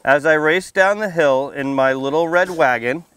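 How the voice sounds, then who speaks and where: A man's voice: fairly high pitch, normal speed, fairly bright tone, clear, resonant tone. A man in his thirties, a firefighter, talking and reading to young children in a plain-spoken, friendly way, outdoors through a face mask. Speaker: firefighter and fire prevention educator reading at a children's storytime. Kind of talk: read-aloud storytime and casual talk to young children. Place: outdoors under a canopy on a library lawn.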